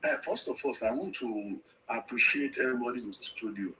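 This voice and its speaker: A man's voice: medium pitch, fairly quick, bright, forceful tone, slight background noise, low-quality recording. A man from Lagos with a Nigerian accent phoning in to a live show, speaking earnestly and repeating phrases for emphasis. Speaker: phone-in caller.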